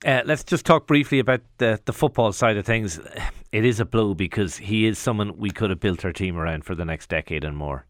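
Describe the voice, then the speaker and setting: Low-voiced radio host, dry studio. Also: male voice, no room sound. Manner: brisk, leading questions. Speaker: radio sports presenter. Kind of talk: live radio interview.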